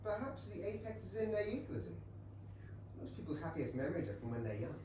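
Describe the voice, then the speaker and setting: Genial English gentleman, echoing theatre. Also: fairly high pitch, very animated, fast. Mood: earnest. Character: genial, sociable, earnest English period gentleman. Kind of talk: theatrical period comedy stage reading. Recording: very echoey distant theatre recording, noisy.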